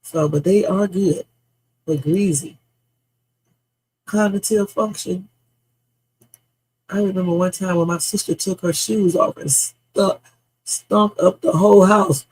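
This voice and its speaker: A woman's voice: mid-range voice, slow pace, long pauses, darkish tone, slight background noise, rough recording. A woman in her fifties hosting a livestream, chatting in a blunt, salty, meandering way that mixes stories with life advice. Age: 50s. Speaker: livestream host. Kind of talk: rambling casual livestream chat.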